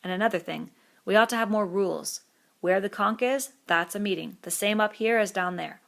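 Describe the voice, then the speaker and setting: A woman's voice: mid-range voice, moderate pace, gravelly, fairly bright tone. A woman voicing an earnest, firm boy who leads the group. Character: earnest, responsible schoolboy chief.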